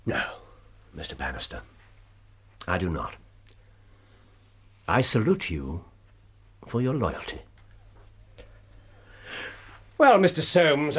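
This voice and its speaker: A brilliant English detective in a radio play. His voice is husky and mid-pitched, with wide, dramatic swings. He speaks precisely and deliberately, courteous but always in command. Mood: gracious, solemn. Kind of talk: British radio drama acting.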